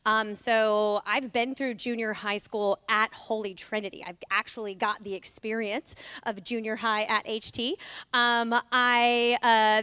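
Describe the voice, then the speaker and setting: Loud, chatty middle-aged woman. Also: a little high, clean tone, no echo. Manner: chatty, many fillers and asides. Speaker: school administrator. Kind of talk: casual welcome talk to parents. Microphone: microphone.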